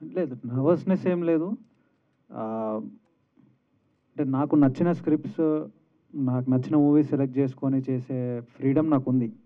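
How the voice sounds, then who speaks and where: A man's voice: medium pitch, normal speed, long pauses. A man giving modest, somewhat hesitant answers as a film actor at a press event. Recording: thin, phone-like event audio.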